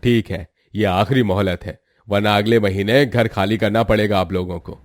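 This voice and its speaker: A man's voice: lowish voice, steady tone, normal speed, no room sound, studio quality, lightly acted. An actor playing a stern, impatient male landlord in a cartoon story. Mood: annoyed.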